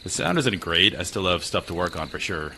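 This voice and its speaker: A man's voice: lively intonation, a little fast, bright, forceful tone. A man streaming games from a small spare room at home, chatting casually and self-deprecatingly with viewers. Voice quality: low voice. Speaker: gaming streamer. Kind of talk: casual live-stream chat. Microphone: desk mic. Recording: slightly tinny home stream mic, faint noise.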